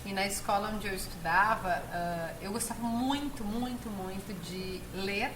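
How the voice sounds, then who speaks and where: A woman's voice: medium pitch, unhurried. A woman with a southern Brazilian accent, telling personal stories as an interview guest, earnest and given to emphatic repetition. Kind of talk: personal storytelling in conversation. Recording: echoey room, clear background noise.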